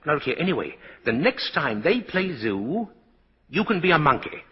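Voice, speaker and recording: A whimsical, gentle old Santa Claus in a 1940s radio play. The voice is breathy and rather high for a man, with very animated rises and falls, on a muffled vintage recording. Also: normal speed, full character voice. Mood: gentle, playful. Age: older.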